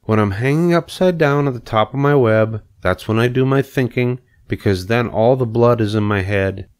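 A man voicing a calm, wise female spider in a storybook reading, measured and reassuring. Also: mid-range voice, clean tone, voice pushed up, close to an everyday voice. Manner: measured, reassuring, matter-of-fact.

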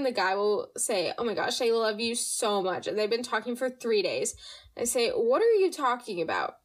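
A young woman hosting a podcast, chatting casually and reading listener stories, her voice slightly nasal from allergies. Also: fairly high pitch. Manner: chatty, animated, reads listener stories aloud. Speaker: podcast host. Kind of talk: casual storytime chat.

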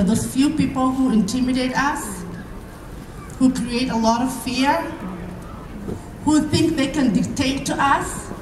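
A woman from Somalia with a Somali accent, giving a public speech at a rally in short, measured phrases. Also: high voice. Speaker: rally speaker. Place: outdoor rally, recorded from within the crowd. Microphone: podium microphone heard from far camera. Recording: distant, echoey outdoor PA with noise.

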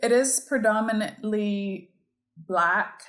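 A woman's voice: mid-range voice, slow, a bit bright. A woman from Barbados, a guest on a podcast, talking candidly and explaining her background step by step. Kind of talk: conversational interview.

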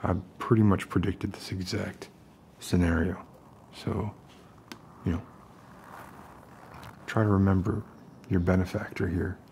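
Low, mellow man in halting ASMR roleplay. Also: flat delivery, slight background noise. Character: unstable, friendly loner survivalist in a bunker. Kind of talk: ASMR roleplay, in-character rambling monologue. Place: basement-like room with concrete walls.

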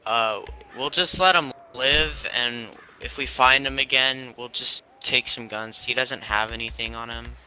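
Bright-voiced man gaming, halting delivery. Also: medium pitch, a little hiss or hum, rough recording. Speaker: player in online roleplay game. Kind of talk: casual in-game chat.

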